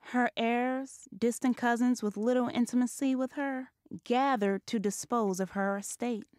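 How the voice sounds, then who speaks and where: A woman playing the narrator of a ghost story audio drama, telling it in a measured, literate way. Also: fairly high pitch, steady tone, a little mellow, no room sound, studio quality, lightly acted. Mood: composed.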